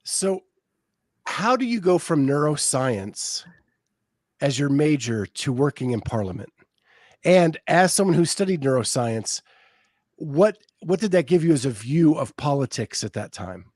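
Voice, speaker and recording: A man's voice: fairly high pitch, very animated, unhurried, darkish tone, no echo, studio quality. An American man hosting a podcast interview, curious and asking leading questions in a casual way. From United States. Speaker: podcast host.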